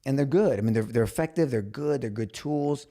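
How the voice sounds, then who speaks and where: A man's voice: mid-range voice, fairly quick, dark tone. A man hosting a podcast in casual, blunt chat, swearing freely and restarting his sentences often. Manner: blunt, profane, lots of restarts. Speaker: podcast host.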